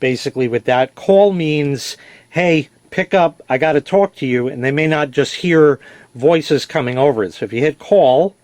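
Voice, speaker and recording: A man's voice: on the high side, moderate pace, darkish tone, slight background noise. A man giving a casual, plain-spoken product demonstration, explaining as he goes and correcting himself. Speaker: product reviewer. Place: indoors in a basement.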